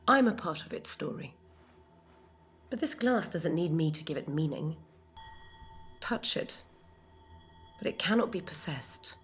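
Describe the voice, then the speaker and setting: Slow, solemn woman acting a poetic philosopher heroine. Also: fairly low pitch. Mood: reverent. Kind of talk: dramatic poetic monologue. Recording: faint background noise and ambience.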